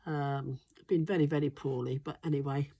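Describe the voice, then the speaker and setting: A woman's voice: sits low, fairly even intonation, moderate pace, warm tone, slightly rough. A woman vlogging from her smallholding, congested and recovering from flu, chatting in a rambling way with frequent ums and restarts. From Wales. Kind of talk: casual chatty monologue.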